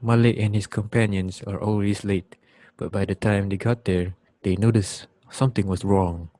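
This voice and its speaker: Low-voiced young male narrator, dry sound. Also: fairly even intonation, normal speed, warm tone, no room sound. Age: young adult. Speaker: student narrator. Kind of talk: scripted narration, reader's theater.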